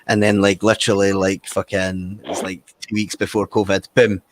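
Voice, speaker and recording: A man's voice: deep voice, level tone, moderate pace, dry recording, rough recording. A man with a Scottish accent chatting casually on a livestream, telling stories full of fillers and swearing. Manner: sweary, filler-heavy storytelling.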